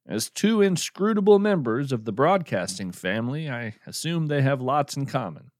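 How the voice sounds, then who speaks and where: A man's voice: mid-range voice, big pitch swings, normal speed, no echo, clean studio sound, close to an everyday voice. A man playing a musing, folksy radio host in an audio drama, speaking in a reflective, wry and literary way. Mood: amused. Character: musing, folksy male radio host wandering haunted woods. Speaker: fiction podcast host. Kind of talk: scripted atmospheric monologue.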